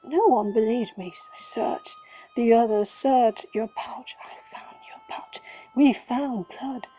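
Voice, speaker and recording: A woman voicing a frail, ill old father, speaking in a hushed, breathy whisper. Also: fairly high pitch, takes it slowly, faint music behind. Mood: haunted. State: ill and coughing.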